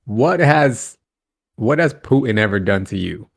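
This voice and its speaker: A man chatting on a podcast, arguing his point with lively acted-out quotes. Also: medium pitch, a little slow, vocal fry. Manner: animated, argues with acted quotes. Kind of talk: casual podcast chat.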